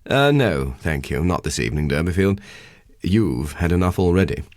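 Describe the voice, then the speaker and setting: An actor voicing an elderly, learned country parson in a literary audiobook, precise, measured and dryly condescending. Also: male voice, deep voice, lively intonation, dry recording, clean studio sound. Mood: dry, dismissive.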